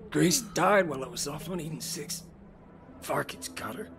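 A man playing a weary, blunt but soft-hearted gunslinger in a video game, speaking in a subdued way. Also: on the high side, normal speed, vocal fry, a crowd in the background. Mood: grieving. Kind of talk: video game character acting.

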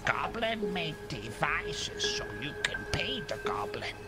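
A man voicing a sneaky, meat-hungry goblin king for game roleplay, in a raspy, half-whispered voice and broken English. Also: on the high side, wildly swooping pitch, over loud music, exaggerated voice. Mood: scheming. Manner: slow, broken third-person speech.

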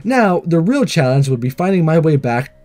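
A man's voice: on the high side, very animated, normal speed, darkish tone, soft background music. A man hosting a let's play, reading a visual novel aloud with casual commentary.

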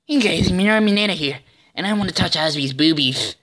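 A man voicing a small, lecherous teenage anime boy with rapid, cheeky speech. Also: fairly high pitch, animated, a bit bright.

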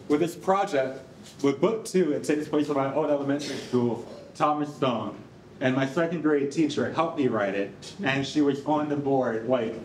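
Young man, informal and animated live presenter, conversational, heard at a distance in an echoing room. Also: on the high side. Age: young adult. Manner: conversational, animated, frequent "like" and "you know". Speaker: project presenter. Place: concrete-walled rehearsal hall before an audience. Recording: roomy, distant mic, faint noise.

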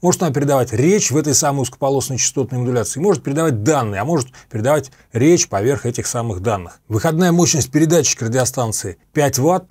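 A middle-aged man with a slightly husky voice, methodically explaining equipment in a review to camera. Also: mid-range voice, a little mellow. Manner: methodical, explanatory, repeats points for clarity. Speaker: host of a radio equipment review channel. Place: small dim home office with computer monitors.

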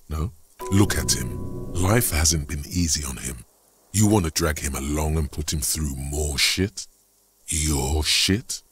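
Very deep, brooding inner voice. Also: male voice, full character voice. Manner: measured, brooding, literary delivery. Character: inner voices of a detective's mind, grave narrator. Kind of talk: dramatic game narration. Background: prominent music.

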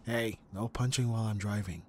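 A man's voice: lowish voice, darkish tone, slight background noise, natural voice. A young man acting as an easygoing, teasing best friend in a casual, intimate ASMR roleplay.

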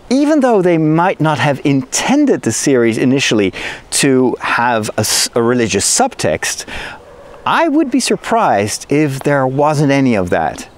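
A middle-aged man, a native Dutch speaker who works as a priest, chatting enthusiastically to his phone camera in a forest. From Netherlands. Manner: chatty and enthusiastic, lively pitch swings. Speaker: priest and video host. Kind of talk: casual explainer to camera. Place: outdoors in a forest.

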